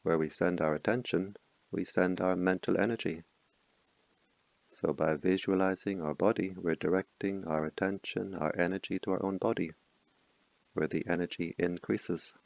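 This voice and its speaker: A man leading a guided meditation as a teacher, speaking slowly and calmly in soft, spaced-out phrases with long pauses. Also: low voice, gravelly, dry recording. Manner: slow, calm, soft-spoken instructions with long pauses.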